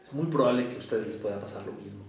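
A man from Mexico with a Mexico City and Jalisco accent, a psychoanalyst giving a measured public lecture in short, deliberate phrases. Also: fairly low pitch, slight background noise. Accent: Mexican, Mexico City mixed with Jalisco. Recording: echoey hall from far off.

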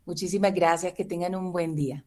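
A Colombian woman, a government official, speaking as a guest on a radio interview. Her voice sits very low and mellow, and she talks in a measured, formal way with many hesitations. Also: steady tone, a little hiss or hum. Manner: measured, formal, with frequent "eh" pauses.

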